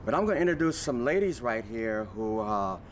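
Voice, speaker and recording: A man's voice: medium pitch, animated, brisk, a little hiss or hum. A man hosting and opening the show with a showman's greeting. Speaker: host. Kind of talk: show introduction.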